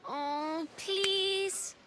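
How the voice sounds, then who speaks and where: A woman's voice: very high-pitched, fairly even intonation, drawn-out words, sharp tone, slight background noise, full character voice. A woman voicing an eager young girl, a plucky sidekick in a video game. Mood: eager, pleading.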